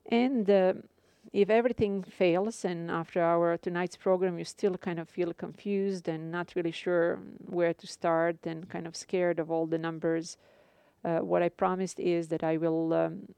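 A woman with a Croatian accent lectures her class over a live broadcast, speaking carefully and pausing often on fillers. Her voice is mellow, low and smooth. The studio sound is dry and close. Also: fairly even intonation, no room sound. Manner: careful, measured, frequent filler pauses. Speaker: instructor. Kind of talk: live radio lecture to students.

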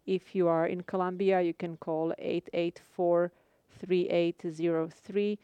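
A woman with a Croatian accent lectures her class over a live broadcast, speaking carefully and pausing often on fillers. Her voice is mellow, low and smooth. The studio sound is dry and close. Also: level tone, no room sound, highs cut off. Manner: careful, measured, frequent filler pauses. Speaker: instructor. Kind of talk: live radio lecture to students.

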